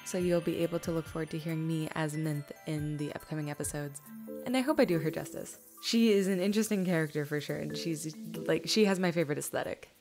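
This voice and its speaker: A woman's voice: low voice, fast. A woman hosting a question-and-answer video, talking informally and reading out questions from viewers. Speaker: host and fan comic-dub creator. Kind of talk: scripted-loose Q&A answers to viewers. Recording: music behind the voice. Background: background music.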